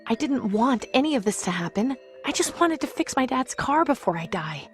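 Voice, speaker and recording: A woman's voice: fairly high pitch, big pitch swings, normal speed, faint music behind. An actress playing a weary, troubled young woman survivor in a video game, speaking in an earnest, hesitant, plain way. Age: young adult. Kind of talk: video game character acting.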